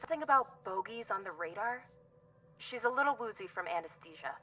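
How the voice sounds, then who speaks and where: An actress playing a sardonic, mischievous spaceship crewmate in a video game. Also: female voice, mid-range voice, animated, fairly quick, a bit bright, far from the mic, lo-fi sound, faint music behind, close to an everyday voice. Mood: wry.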